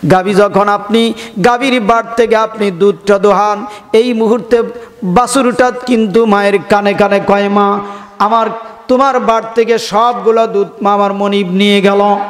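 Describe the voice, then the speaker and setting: A Bangladeshi man preaching an Islamic sermon in a fervent, drawn-out, sing-song way, exhorting his listeners and at times chanting. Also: sits high, steady tone. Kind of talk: fervent sermon with chanted passages and calls for audience response. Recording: long hall reverb, music-like sound behind.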